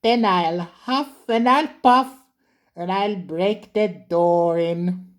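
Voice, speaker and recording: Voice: mid-range voice, slow pace, voice pushed up, lightly acted. A storyteller voicing a cheeky small young male engine who pretends to be a ghost, in a spooky, teasing voice.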